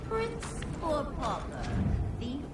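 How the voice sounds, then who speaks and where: Slow, taunting seductress villainess. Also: female voice, sits high, wildly swooping pitch, recorded from a distance, heavily acted. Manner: slow, mocking, theatrical, toying. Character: taunting seductive illusion-casting concubine villainess. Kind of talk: video game villain acting. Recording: echoing voice, loud background noise.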